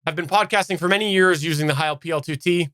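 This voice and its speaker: A man's voice: a little high, quick pace, bright, forceful tone, clean tone, dry recording, clean studio sound. A man from Canada giving a casual, unscripted product review as a podcast host, explaining in detail and stressing key words.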